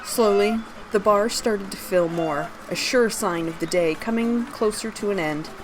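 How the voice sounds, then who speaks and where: A woman's voice: medium pitch, unhurried, noisy background. A woman reading her own fantasy story aloud, narrating scripted prose and its dialogue.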